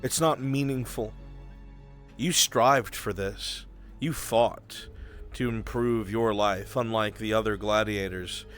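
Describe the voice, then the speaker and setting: A man working as game master, narrating a live roleplay game in the second person with brooding, deliberate delivery and dramatic pauses, over background music. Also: lowish voice, fairly bright tone. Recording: music behind the voice.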